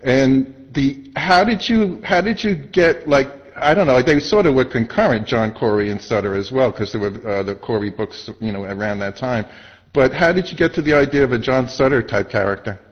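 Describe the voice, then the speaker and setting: A man interviewing casually in a halting, rambling way, speaking as an admiring fan. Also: medium pitch. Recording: dull lo-fi audio.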